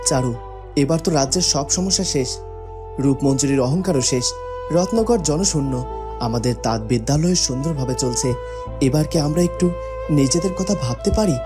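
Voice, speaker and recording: A devoted young fairy-tale prince, voiced by a man, speaks earnestly at an average male pitch. Music plays clearly behind him. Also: fairly quick, lightly acted. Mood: hopeful. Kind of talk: cartoon fairy-tale dialogue.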